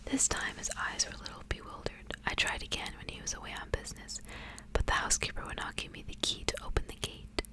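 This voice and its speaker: Whispering woman doing ASMR. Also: fast. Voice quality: breathy, unvoiced whisper. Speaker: ASMR reader hosting a book series. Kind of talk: whispered ASMR storybook reading with casual asides.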